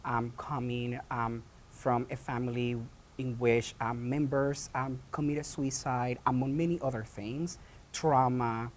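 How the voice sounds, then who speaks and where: A man from Puerto Rico, a theatre founder and director, answering interview questions in a halting way with many pauses and restarts. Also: medium pitch, fairly even intonation, a little hiss or hum.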